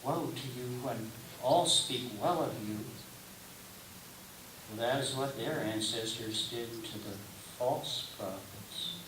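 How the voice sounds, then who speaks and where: Slow, echoey man reading scripture. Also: medium pitch. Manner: deliberate, declamatory phrasing with pauses between short phrases. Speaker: scripture reader. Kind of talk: solemn liturgical scripture reading. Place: roomy indoor church gathering space. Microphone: distant microphone. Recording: distant mic, echoey room, clear hiss.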